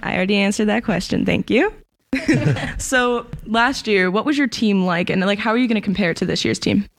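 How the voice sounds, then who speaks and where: A woman hosting a school podcast, asking questions in an upbeat, brisk way. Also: mid-range voice, slight background noise. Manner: upbeat, quick interviewer. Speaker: student podcast host. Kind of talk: casual chat.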